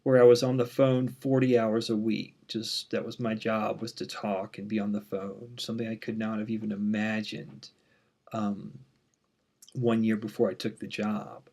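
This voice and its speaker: A man in his thirties with a mild stutter, introducing himself to his online class as the instructor in a relaxed, candid way with frequent "um"s. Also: lowish voice, moderate pace, creaky. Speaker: university instructor. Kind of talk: casual course introduction.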